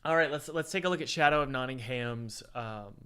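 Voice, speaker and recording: A man's voice: mid-range voice, a little fast, pushed and bright, smooth. Stuffy-sounding man hosting a livestream. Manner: rambling, repeats himself, self-correcting. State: stuffy, sounds like a head cold. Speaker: livestream host reviewing indie games. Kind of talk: casual livestream chat with ad read. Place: home room, window open, cold.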